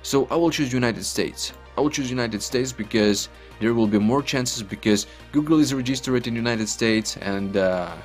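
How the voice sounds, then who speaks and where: Man, 20s, Ukrainian accent, non-native English, chatty, repetitive, screen walkthrough, faint music behind. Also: mid-range voice. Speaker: online earning vlogger. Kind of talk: casual screen-recorded walkthrough.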